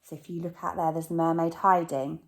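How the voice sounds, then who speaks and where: A woman teacher reading a rhyming picture book aloud to children, animated, sometimes dropping to a whisper. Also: low voice, brisk.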